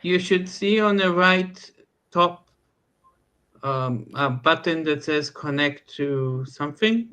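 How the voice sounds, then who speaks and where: A woman from Israel on a video call, explaining steps helpfully in a halting way. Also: low voice, expressive, fairly bright tone, clear, resonant tone. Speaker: meeting participant. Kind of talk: casual chat in online meeting. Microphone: computer mic on video call.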